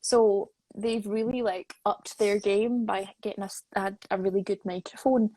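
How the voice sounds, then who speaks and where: A woman's voice: mid-range voice, fairly even intonation, moderate pace, mellow, dry recording, low-quality recording. Woman hosting a chat, dry close sound. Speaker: interview host. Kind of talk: casual live chat interview.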